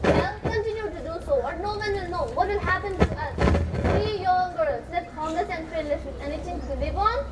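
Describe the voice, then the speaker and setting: Reproachful young daughter, played by a woman, piercingly high, declaiming on stage amid very loud noise. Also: brisk. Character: reproachful, outspoken young daughter fearing destitution. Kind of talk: amateur stage play acting. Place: school stage performance, recorded from a distance. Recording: echoey distant mic, loud crowd noise.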